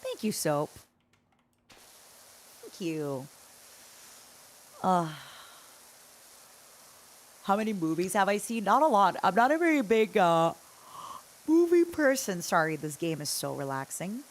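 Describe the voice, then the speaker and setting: A woman's voice: mid-range voice, very animated, slow pace, pauses often. A woman livestreamer chatting casually as she games, rambling and playful as she talks to her viewers. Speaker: livestreamer, host. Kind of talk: casual chat while gaming, answering chat.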